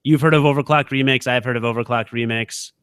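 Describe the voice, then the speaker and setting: A man's voice: medium pitch, fairly even intonation, fast talker, fairly bright tone, smooth, no room sound, studio quality. A man co-hosting a comedic podcast with casual banter, sounding stoned, rambling and slangy. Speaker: podcast co-host.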